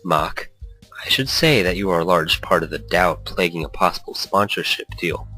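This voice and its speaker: A man voicing a stern, skeptical businessman in a visual novel game, formal, measured and critical. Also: deep voice, pushed and bright, breathy edge, heavily acted. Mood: cold, critical. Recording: faint music behind the voice.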